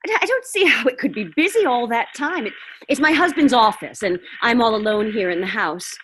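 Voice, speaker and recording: A woman's voice: fairly high pitch, animated, brisk, a bit bright, slight background noise. A woman playing an anxious, demanding, sickly housewife in a melodramatic 1940s-style radio thriller. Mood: fretful. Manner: fretful, insistent, melodramatic.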